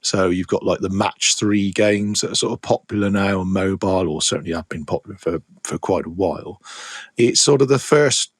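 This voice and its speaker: Middle-aged man, podcast host, lowish voice, rambling, dry close sound. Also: moderate pace, slightly rough, dry recording. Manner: rambling, many restarts. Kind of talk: casual chat.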